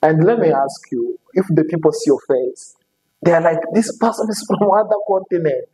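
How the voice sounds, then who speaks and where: A man hosting an interview, his voice a touch higher than most and mellow in tone. He speaks non-native English with many fillers. A long reverb trails each phrase, as in a hall. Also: normal speed. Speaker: show host. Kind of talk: casual interview.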